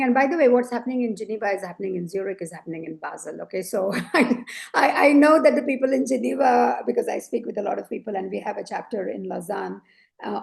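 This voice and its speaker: A woman leadership coach speaking as a podcast guest, with a low voice, reflective and earnest, often adding "you know" and "right?". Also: gravelly, a little mellow. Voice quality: low, deep voice. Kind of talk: conversational interview.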